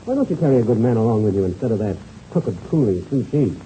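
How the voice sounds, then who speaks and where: Man playing a stern pilot, very mellow-toned, lively. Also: on the low side, quick pace, lightly acted. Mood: stern, scolding. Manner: firm, lecturing. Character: stern, principled male race pilot, the leader. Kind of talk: 1930s radio serial acting. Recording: muffled old radio recording, faint noise.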